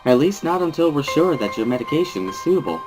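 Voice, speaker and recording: A man voicing a calm, reassuring hospital doctor in a measured, formal, placating manner for a dramatic reading. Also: a little high, music in the background, voice pushed up, rough recording.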